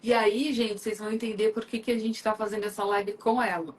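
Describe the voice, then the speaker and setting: A woman's voice: medium pitch, fairly even intonation, brisk. A woman from Brazil hosting a live stream, talking in a chatty, enthusiastic way with lots of fillers. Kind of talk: casual live chat.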